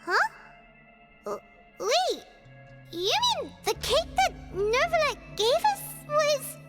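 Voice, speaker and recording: Casting: tiny excitable fairy girl sidekick for a game, piercingly high, wildly swooping delivery. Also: takes it slowly, over loud music, exaggerated voice. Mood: startled, indignant. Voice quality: squeaky, childlike. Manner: refers to self in third person, indignant, exclaiming. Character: small floating fairy companion, childlike, excitable girl. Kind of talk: English video game voice acting.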